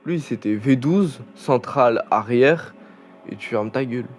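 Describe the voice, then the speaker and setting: Slow, rambling young man chatting. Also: medium pitch, a little hiss or hum. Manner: rambling, chatty with many fillers and restarts. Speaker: gaming channel host. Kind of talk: casual gameplay commentary.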